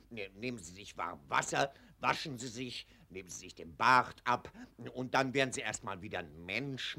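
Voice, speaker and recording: Raspy, blustering old colonel. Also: male voice, medium pitch, bright, forceful tone, full character voice. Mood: patronising. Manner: patronising, blustering, hesitant, chuckling. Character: jovial, condescending older German army colonel. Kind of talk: 1947 German radio play acting. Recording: old 1940s radio recording.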